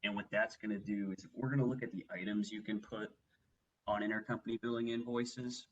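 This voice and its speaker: A man working methodically through a software walkthrough as a webinar trainer, explaining each step. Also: fairly low pitch, fairly even intonation, fairly quick, highs cut off. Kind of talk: instructional software walkthrough, semi-scripted presentation.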